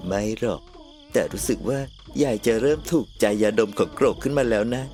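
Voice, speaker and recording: Cartoon ghost friend acted by a man: reasonable peacemaker, patient, medium pitch. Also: expressive, a little fast, mellow, lightly acted. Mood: knowing, explanatory. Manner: placating, patient, conversational. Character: calm, reasonable male ghost friend, mediating and explaining. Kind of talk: cartoon comedy dialogue.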